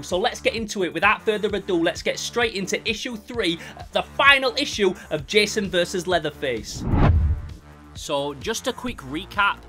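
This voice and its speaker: A man's voice: high-pitched, pushed and bright. A young man hosting an enthusiastic comic review, narrating in an emphatic, sweary way. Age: young adult.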